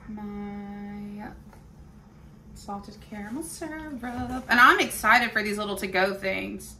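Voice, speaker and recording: Tired young woman vlogging, creaky voice. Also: mid-range voice, bright, forceful tone, slight background noise. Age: young adult. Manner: tired, unhurried, rambling. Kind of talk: casual chat to camera. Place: home kitchen, indoors. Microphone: phone front camera at arm's length.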